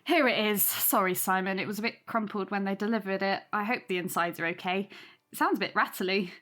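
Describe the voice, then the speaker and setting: A woman's voice: medium pitch, fairly quick, a bit bright, natural voice. An actress playing a hospitable young British mother in an audio drama, polite, apologetic and reassuring. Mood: friendly, apologetic. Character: Taylor, friendly hospitable young mother hosting Christmas. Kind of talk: naturalistic audio drama acting.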